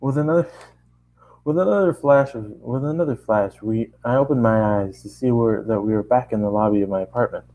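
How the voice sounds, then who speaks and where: Man narrating a story, medium pitch, stumbling. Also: fairly quick, lo-fi sound. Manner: stumbles and repeats phrases. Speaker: fan fiction narrator. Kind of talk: read-aloud first-person story narration.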